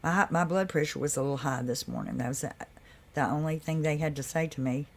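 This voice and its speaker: A woman hosting a live stream in a loose, chatty way, with a deep voice that carries. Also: a little fast, creaky, a little mellow. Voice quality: deep, carrying voice. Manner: loose and chatty, with laughs and asides to viewers. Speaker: livestream host. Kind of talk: casual live chat.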